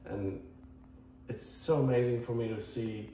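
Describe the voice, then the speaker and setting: A man from Croatia with a Croatian accent, talking casually to viewers as a tennis channel host in a halting, earnest, rambling way. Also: mid-range voice, fairly even intonation, dark tone. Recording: echoey, distant mic, faint noise.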